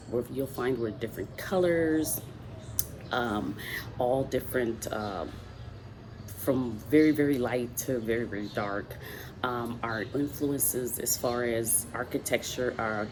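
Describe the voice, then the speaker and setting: A woman from the Dominican Republic with a strikingly low voice, chatting casually as host. She rambles a little and circles back with fillers. The recording is noisy, made outdoors with roosters and dogs around. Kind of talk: casual talk to camera.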